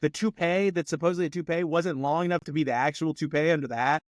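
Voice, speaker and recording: A man's voice: a little high, fast, no echo, studio quality. A man co-hosting a movie review podcast, rambling with lots of fillers and laughing often in casual chat. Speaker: podcast co-host.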